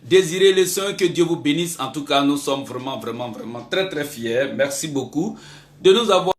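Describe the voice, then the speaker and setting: High-voiced Ivorian man hosting in an echoey room. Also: animated, normal speed. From Ivory Coast. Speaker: web radio-television host. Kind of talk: live show sign-off with blessings and announcements.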